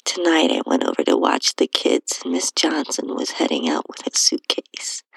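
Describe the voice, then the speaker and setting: Female narrator for intimate erotic audio fiction: slow, breathy and sultry, swinging widely in pitch, close dry sound. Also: dry recording, low-quality recording. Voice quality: breathy, whispery, husky. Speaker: podcast host and narrator reading own story. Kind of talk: intimate first-person erotic audio storytelling.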